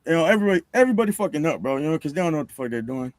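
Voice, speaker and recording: Male, thirties, slightly high pitch, dry recording; casual, profane, slang-heavy podcast storyteller. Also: very animated, very fast, no room sound. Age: 30s. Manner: slangy, swearing, peppers talk with "bro" and "you know". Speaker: podcast guest, rapper. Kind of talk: casual chat.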